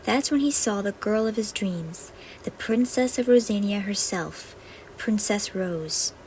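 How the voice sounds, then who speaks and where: A woman's voice: medium pitch, a little slow, darkish tone. A woman storyteller reading a scripted fairy-tale narration. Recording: faintly noisy home recording.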